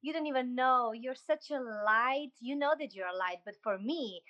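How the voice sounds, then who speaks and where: A woman's voice: on the high side, normal speed, fairly bright tone. A woman from Slovenia with a Slovenian accent, speaking as a podcast guest, a dancer and choreographer, in enthusiastic, gushing casual chat.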